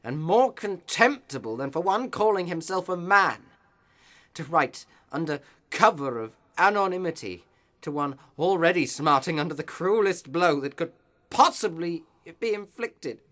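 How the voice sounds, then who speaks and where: A man's voice: fairly high pitch, expressive, a little slow, a bit bright. A man playing a righteous, indignant clergyman in a radio play, speaking in formal, impassioned oratory. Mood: righteous anger.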